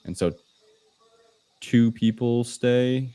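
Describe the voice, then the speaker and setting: A man's voice: medium pitch, very slow, warm tone, smooth, no room sound. A man co-hosting a podcast, recounting a film in a loose, hesitant, casual chat style. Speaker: podcast co-host.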